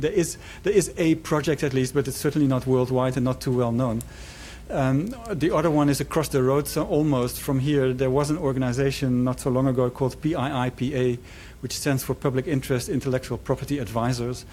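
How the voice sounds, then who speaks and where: A man with a mid-pitched voice explains his answer as a conference presenter. His delivery is halting, with restarts as he works through his points. A faint rumble sits behind him. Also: darkish tone.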